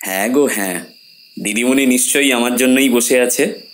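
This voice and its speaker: A confident man in his thirties played by an actor in a horror audio play. His voice sits at a middle pitch, with an emphatic, reassuring delivery of a devoted fiancé. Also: moderate pace, lightly acted. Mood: cheerful. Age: 30s. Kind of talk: audio horror drama acting.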